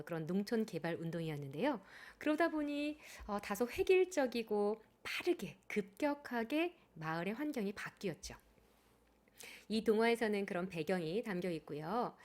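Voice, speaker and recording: A woman professor reading a children's story aloud and then explaining it with hesitant fillers. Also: a little high. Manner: gentle expressive reading, hesitant fillers in commentary.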